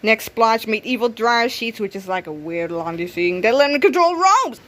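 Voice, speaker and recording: Bright, high-voiced woman reading aloud. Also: normal speed. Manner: expressive, with stumbles over words. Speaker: read-aloud storyteller. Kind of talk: animated children's comic book read-aloud.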